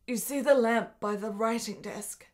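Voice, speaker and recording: Actress as a frail desperate young woman, high voice, formal literary phrasing, fraught, period radio play. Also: unhurried, darkish tone, lightly acted. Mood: frail, earnest. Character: sickly, desperate, intense young 19th-century Italian woman. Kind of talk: period radio drama acting.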